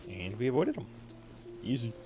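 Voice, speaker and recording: A man's voice: medium pitch, wildly swooping pitch, normal speed. A man giving casual, sarcastic playthrough commentary, teasing and muttering to himself as he plays. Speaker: gamer. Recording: game music clearly behind. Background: video game music.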